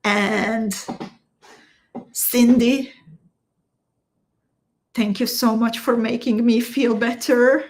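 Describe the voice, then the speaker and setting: Slow-talking woman teaching beading. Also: fairly high pitch, fairly even intonation, slight background noise. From Slovakia. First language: Hungarian. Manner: slow, step-by-step instructions, chatting with viewers. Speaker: beading instructor. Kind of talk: live-stream craft tutorial.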